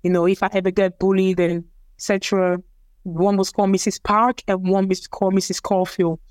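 Young woman from Cameroon, French first language, hesitant, repeats herself, smooth clear voice, dry close sound. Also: mid-range voice, flat delivery, brisk, darkish tone, no room sound. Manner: hesitant, repeats words, many fillers. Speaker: interviewee, athlete. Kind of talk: casual personal storytelling.

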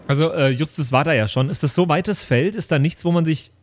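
A man playing a naive, trusting, well-meaning fellow in an improvised tabletop game, speaking haltingly and earnestly. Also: a little high, faint music behind, natural voice.

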